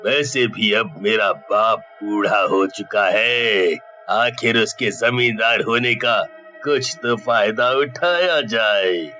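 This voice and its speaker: A gloating cartoon demon in a village thug's body, played by a man. He speaks slowly and menacingly, with a hard, bright edge. He scorns decent people and relishes his own wickedness. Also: fairly low pitch, soft background music. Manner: menacing, drawn-out, scornful of honest folk. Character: gloating male devil possessing a greedy village bully. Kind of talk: Hindi cartoon story acting.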